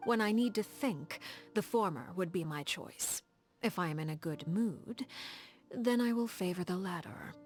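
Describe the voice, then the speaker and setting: Poised, elegant woman leader, measured and formal. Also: lowish voice, expressive, faint music behind. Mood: calm, reflective. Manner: composed, measured, formal, refined. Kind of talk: scripted video game character dialogue.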